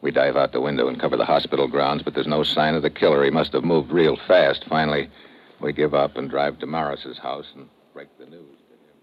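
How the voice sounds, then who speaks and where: Low-voiced radio detective. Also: male voice, level tone, fairly quick. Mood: grim, matter-of-fact. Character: terse, level-headed male investigator narrating his own case. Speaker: lead actor and narrator. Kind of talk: old-time radio detective drama acting with first-person narration. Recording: noisy, muffled old radio recording.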